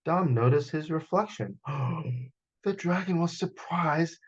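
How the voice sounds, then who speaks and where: A man reading a children's story aloud in an expressive, animated storytelling style, with dramatic gasps and sound effects. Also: fairly high pitch, slow pace, breathy edge, highs cut off. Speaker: children's author reading his book aloud. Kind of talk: animated picture-book storytelling.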